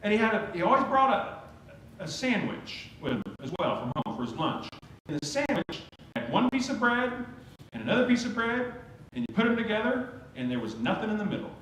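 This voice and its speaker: A pastor preaching a sermon in a conversational, storytelling way. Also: male voice, high voice, sing-song, fairly quick, fairly bright tone. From Oklahoma. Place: church sanctuary. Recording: very echoey church sanctuary, faint hum.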